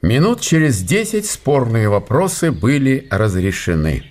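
A man's voice: medium pitch, big pitch swings, unhurried, a little mellow. A man narrating a historical radio play in a scripted literary style.

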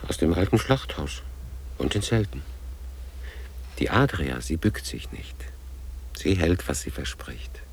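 An actor playing a gruff, weary man in a radio drama, muttering tersely as he humors a child. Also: deep voice, sing-song, measured pace, pauses often, in a whisper, heavily acted. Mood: subdued, brooding.

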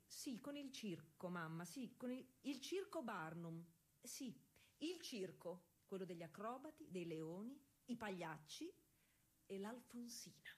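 An actress playing a proud, eager young woman racing cyclist of the early 1900s in a conversational, insistent stage monologue, with a husky voice. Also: mid-range voice, a little mellow. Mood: excited, chatty. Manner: explanatory, conversational, insistent with repeated checks. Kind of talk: theatrical monologue addressed to an unseen listener.

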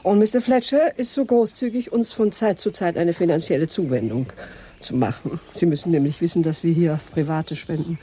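Actress as a mellow-voiced, guarded lady. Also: on the low side, a little fast, slight background noise, lightly acted. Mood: evasive, hesitant. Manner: polite, hesitant, evasive. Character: genteel, guarded lady owner of a private sanatorium. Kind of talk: German radio crime drama acting.

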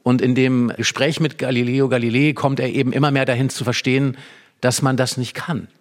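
A man's voice: medium pitch, level tone, brisk. A man in conversation, explaining a play as an expert guest with vivid storytelling and emphatic repetition.

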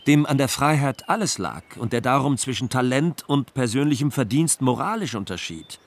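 An actor in a German radio drama playing an earnest, thoughtful young friend debating ideas in a husky voice. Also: male voice, medium pitch, lively intonation, moderate pace. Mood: questioning, earnest.

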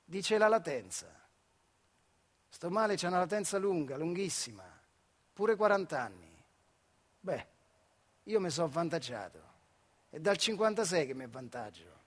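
An old retired factory worker with a notably high voice and a central Italian accent from the Marche. He is weary and bitter, with rueful irony. The delivery is a colloquial theatrical monologue steeped in dialect. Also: very animated, normal speed, long pauses, husky. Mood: wry, resigned. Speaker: solo stage actor.

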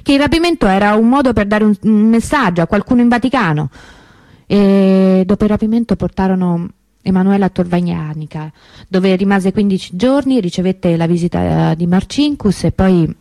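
An actress playing the grieving, bitter sister of a kidnapped girl in a radio monologue, measured and emphatic. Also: mid-range voice, vocal fry, a little mellow, no echo, lightly acted. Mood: grave recounting.

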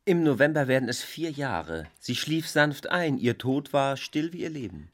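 An actor playing a wounded, reproachful housebound brother in a German radio play, speaking in a grave and portentous way. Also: male voice, medium pitch, big pitch swings, moderate pace, close to an everyday voice. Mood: sorrowful.

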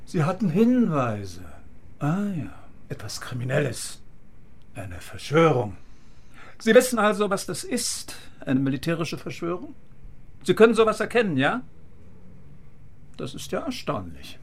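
A man's voice: a little high, huge pitch swings, slight background noise. A man playing a sardonic, condescending older East German general in a radio crime drama, mocking and commanding. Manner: mocking, drawn-out questions, commanding.

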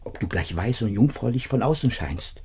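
An actor playing a loyal servant, anguished and indignant, declaiming in a classical radio drama. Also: male voice, medium pitch, animated, brisk, darkish tone, husky. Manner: agonised, declamatory, swinging into whispers. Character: loyal male servant, anguished and indignant at his master's order.

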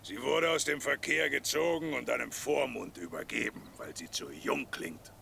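Radio play sidekick, knowing and blunt, extremely creaky, sharp bright tone, faint rumble. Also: male voice, very animated, normal speed, full character voice. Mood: matter-of-fact. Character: knowing, blunt companion called Klumpen, gravelly. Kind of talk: German radio drama acting.

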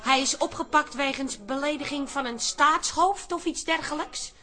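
An actress playing the exasperated, long-suffering daughter of a troublemaker, wry and sceptical, in a radio drama. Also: female voice, high voice, moderate pace, bright, forceful tone, faint music behind. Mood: apologetic, flustered.

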